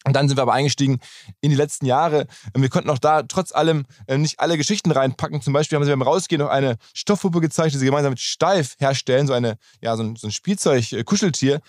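A man's voice: mid-range voice, quick pace, darkish tone, no echo, clean studio sound. A man hosting a podcast, speaking in a chatty, informal way. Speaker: podcast host. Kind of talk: casual podcast talk and interview, with host-read ad.

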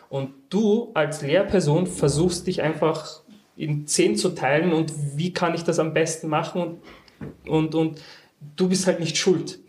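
A man's voice: on the high side, darkish tone, a little hiss or hum. A man, a teacher, speaking earnestly in a group discussion and often restarting words. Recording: echoey room.

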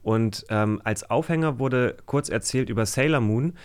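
A man's voice: mid-range voice, normal speed, clear, resonant tone. A man in a casual discussion as a podcast guest, thinking aloud in informal, youthful language.

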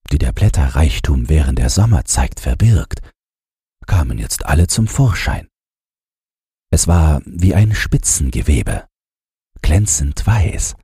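Male audiobook narrator, bedtime fairy tale, unhurried, breathy-husky, dry close sound. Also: extremely low pitch, dark tone, no echo.

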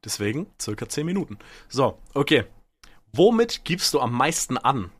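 A man's voice: medium pitch, very animated, normal speed, husky, no echo. Young male co-host, mid-range voice. Loose, slangy chat between mates. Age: young adult. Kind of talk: casual chat with slangy banter.

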